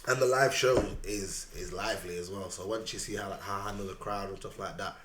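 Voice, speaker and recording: A man with a very low voice and a Sheffield accent talks about his music in a relaxed, rambling way, leaning on fillers. He sounds like a rapper being interviewed in a roomy studio with a faint hiss behind him. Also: brisk. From Sheffield, England. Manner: laid-back, lots of fillers and repeated "obviously". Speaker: rapper guest. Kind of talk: casual interview chat. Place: recording studio.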